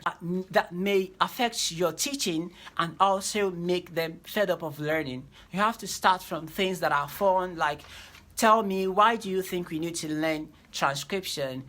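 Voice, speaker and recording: A woman lectures on teaching diction, her voice bright and somewhat low-pitched. She repeats key phrases and slows down to stress her points, like a teacher walking a class through a lesson.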